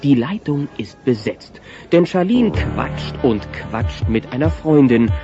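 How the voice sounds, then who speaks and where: A man narrating a children's audio play as an animated, dramatic storyteller with mock suspense. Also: medium pitch, slow, music in the background. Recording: muffled old cassette audio play.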